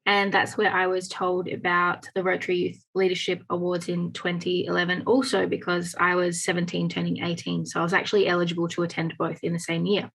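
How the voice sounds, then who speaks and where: A woman's voice: on the low side, completely flat delivery, fairly bright tone, poor audio. An Australian woman in her late twenties, the club president, reading a short bio from notes. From rural New South Wales. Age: late 20s. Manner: brisk, reads from notes.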